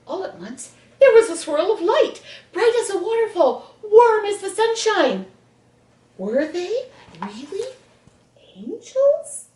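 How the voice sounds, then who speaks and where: A woman reading a children's bedtime story expressively, with dramatic pauses. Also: very high-pitched, sing-song, warm tone. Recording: echoey room, distant mic.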